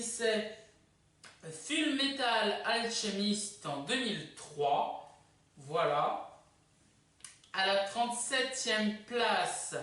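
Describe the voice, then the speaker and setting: A YouTube host casually presenting a countdown video in a chatty, halting way. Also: medium pitch, pushed and bright. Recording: echoey room, distant microphone.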